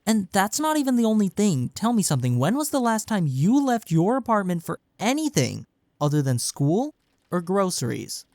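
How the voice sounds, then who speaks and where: Man acting as mellow, gravelly young best friend. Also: sits high, very animated, moderate pace, dry recording. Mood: frank, concerned. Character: imagined young male best friend, gentle, caring, frank. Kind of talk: intimate audio roleplay acting, comforting.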